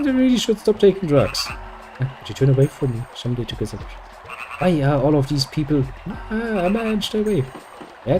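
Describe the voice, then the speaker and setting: A man's voice: medium pitch, low-quality recording. A man reading game dialogue aloud and adding dry, sarcastic jokes as he plays. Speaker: gameplay video host.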